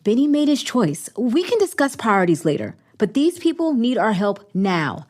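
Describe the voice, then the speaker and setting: A woman's voice: on the high side, very animated, moderate pace, darkish tone. A woman playing a bold, decisive teenage superhero leader in an audio drama, earnest and commanding.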